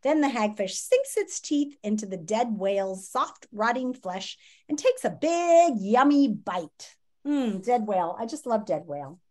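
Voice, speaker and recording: A woman in her fifties reading a children's nonfiction book aloud in a dramatic, teacherly way with emphatic pauses, on a video call from a room at home. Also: a little high, sing-song, mellow. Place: small home room, indoors. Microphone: video call, computer microphone.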